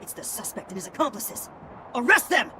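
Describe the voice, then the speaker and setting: A man's voice: very high-pitched, sing-song, a little fast, sharp tone, a little hiss or hum, full character voice. A man voicing a dutiful soldier guard in a video game, reporting in clipped military fashion. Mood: urgent.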